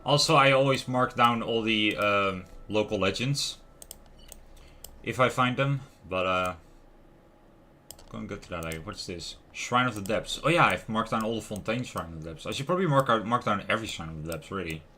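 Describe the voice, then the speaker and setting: Low, bright-voiced man musing over a game. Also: lively intonation, normal speed. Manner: musing aloud, trailing off and questioning himself. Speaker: livestream host playing a video game. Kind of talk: casual gaming livestream commentary. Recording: music playing behind the voice. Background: lo-fi music, keyboard typing and clicks.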